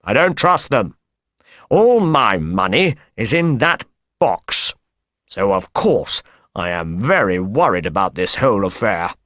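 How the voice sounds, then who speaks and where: A man acts out a frightened, evasive fat man for an audiobook. He pleads and exclaims in an agitated way, with very lively pitch swings and a deliberate, slow pace. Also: dry recording, clean studio sound, exaggerated voice. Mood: worried, pleading. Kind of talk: audiobook character voice.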